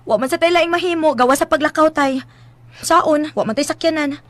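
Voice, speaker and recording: A woman's voice: high-pitched, fairly quick, fairly bright tone. A woman with a Cebuano Filipino accent playing a feisty, protective young farmer's daughter in a radio drama, whiny and emphatic. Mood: chiding. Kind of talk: Philippine radio drama acting.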